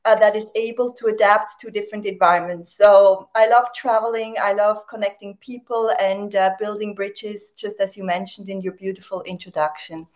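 A woman from Switzerland, native German speaker, talking as a guest in a radio interview, with many "uh" and "um" pauses as she searches for words. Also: medium pitch, fairly even intonation. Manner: warm-spoken, many fillers, searching for words. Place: remote, not in studio.

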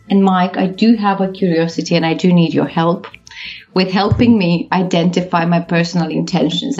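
Low-voiced woman hosting radio. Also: darkish tone. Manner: polite, hesitant questioning with fillers. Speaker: radio host. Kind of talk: radio interview. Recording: dull lo-fi radio sound with faint music.

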